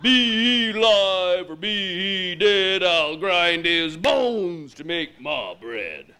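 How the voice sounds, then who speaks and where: A man voicing a huge bearded giant in a storybook tale, chanting loudly and slowly with menace. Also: expressive, clean tone, full character voice. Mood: menacing. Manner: booming, chanting.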